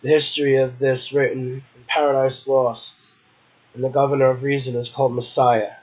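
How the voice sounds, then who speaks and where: Through a thin, phone-like line comes a man hosting a poetry show. He reads literary passages aloud and slips into casual commentary. His pitch sits in the middle of the male range. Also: little pitch movement, a little fast. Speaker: poetry podcast host, poet. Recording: muffled, tinny phone-like audio.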